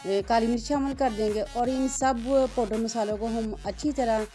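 A woman's voice: on the high side, steady tone, moderate pace, mellow, faint music behind. A woman, hosting a cooking channel and narrating each step politely in a voice-over. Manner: step-by-step, polite, religious greetings.

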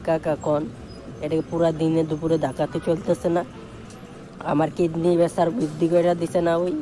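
A man's voice: a little high, background noise, lightly acted. A man playing a talkative, aggrieved young village nephew in a comic cartoon, complaining dramatically with a Bangladeshi Bengali accent.

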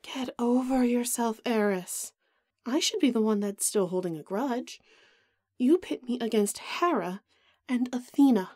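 A woman's voice: a little high, measured pace, a little mellow, no echo, clean studio sound. A woman playing a vain, haughty goddess in an audio roleplay drama, melodramatic and put-upon with a whiny edge. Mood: indignant.

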